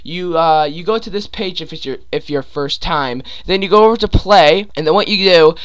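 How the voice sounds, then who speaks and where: A man's voice: a little high, normal speed, a bit bright, clean tone, rough recording. Stuffy-nosed young man rambling through a tutorial. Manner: rambling, many fillers and restarts. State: stuffy nose and sore throat. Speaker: gaming YouTuber. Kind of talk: casual screen-recorded tutorial.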